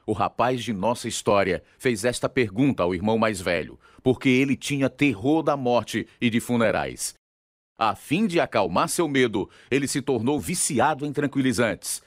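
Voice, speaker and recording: A man's voice: medium pitch, lively intonation, moderate pace, dry recording, studio quality. A man with a Brazilian accent reading scripted dramatic narration as announcer of a radio drama series. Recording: acoustic guitar music behind the voice.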